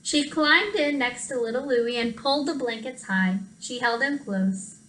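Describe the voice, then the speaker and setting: A woman reads a children's storybook in an echoey room. Her voice is high and bright, and she takes an unhurried, expressive pace as she talks straight to the kids. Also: a little hiss or hum. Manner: expressive, addresses children directly. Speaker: storytime reader, teacher. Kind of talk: picture-book read-aloud for children. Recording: roomy echo.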